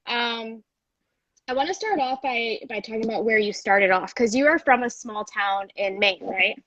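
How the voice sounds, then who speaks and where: A young adult woman from Peru hosting a live video-call interview in a fairly high, dry voice. She sounds bubbly and chatty, giggling easily between questions. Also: normal speed, a bit bright, no room sound, lo-fi sound. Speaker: comedy club interviewer. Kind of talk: casual live-stream interview.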